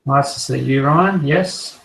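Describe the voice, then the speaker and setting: Croaky man with a cold, roomy sound. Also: mid-range voice, slow, far from the mic, slight background noise. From Australia. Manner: relaxed, rambling collector talk. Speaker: co-host. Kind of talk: casual chat.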